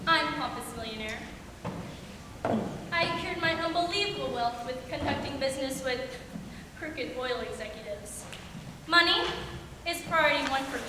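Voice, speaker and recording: A pompous, greedy millionaire, played by a woman, smug and self-satisfied in a high voice. The hall is very echoey, with clear background noise. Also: lightly acted.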